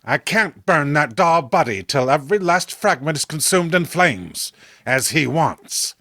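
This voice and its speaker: A man's voice: on the high side, expressive, a little slow, sharp tone, no room sound, clean studio sound, full character voice. A man voicing an anguished official with a grave, melodramatic manner in a horror comic read-along. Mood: sorrowful. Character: anguished male official, gravely refusing a terrible duty.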